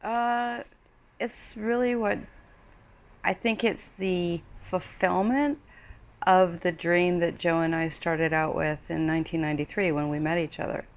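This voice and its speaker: A woman's voice: lowish voice, a little slow. A Swiss-born woman in her fifties, an actress answering interview questions in a thoughtful way, often searching for words. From Switzerland. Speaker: actress and acting studio co-founder.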